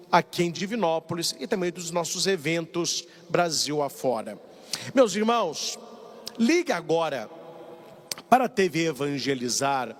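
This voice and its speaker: Man, priest preaching, high voice, echoing church. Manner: animated storytelling, acts out dialogue. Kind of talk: live-broadcast sermon with announcements. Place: large church sanctuary. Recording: long church reverb.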